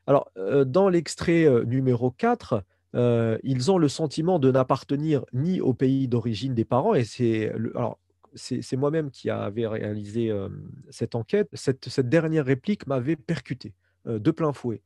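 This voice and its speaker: Middle-aged male academic, hesitant conference delivery with constant fillers, warm low timbre, dry sound. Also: normal speed, dry recording. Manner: hesitant, many fillers and restarts. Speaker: sociolinguistics lecturer.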